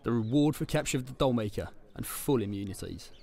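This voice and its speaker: An actor playing a grim, stubborn undercover police detective in a radio drama, with an English accent and a gruff, dry manner. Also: male voice, medium pitch, expressive, quick pace, mellow. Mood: guarded.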